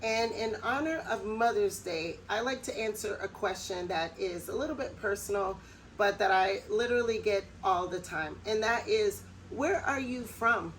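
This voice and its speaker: American woman, middle-aged, chatty vlog talk, medium pitch, faint hiss behind. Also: fairly bright tone, poor audio. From United States. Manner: chatty, personal, with quick laughs. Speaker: vlogger. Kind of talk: casual talk to camera.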